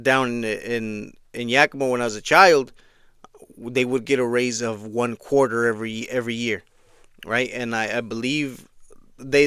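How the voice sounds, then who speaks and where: A man with a smooth, clear, mid-pitched voice talks in a loose, conversational way, restarting phrases and tossing in "right?" as he goes. The recording is dry and close with no room sound. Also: fairly bright tone, dry recording. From Mexico, raised in Eastern Washington. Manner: conversational, frequent restarts and "right?" check-ins. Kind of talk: casual spoken commentary.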